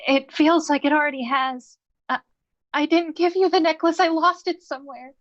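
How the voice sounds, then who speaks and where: A woman's voice: sits high, normal speed, close to an everyday voice. A woman playing an anxious, lovestruck young goddess, flustered and pleading, in a staged play reading. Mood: upset, apologetic. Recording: dull, lo-fi microphone sound.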